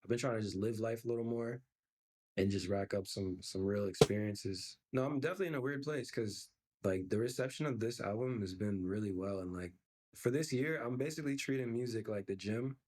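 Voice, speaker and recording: Low-voiced relaxed young man musician. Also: brisk. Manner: reflective, easygoing, many fillers. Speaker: musician guest. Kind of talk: podcast interview.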